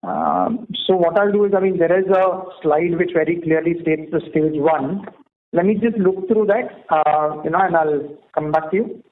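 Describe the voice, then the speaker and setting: A man answering questions as an executive on an earnings call, in a measured, halting way with hedging phrases, over a phone line. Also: fairly high pitch, lively intonation. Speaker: company executive answering analysts. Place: conference call. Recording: narrow tinny phone-line audio.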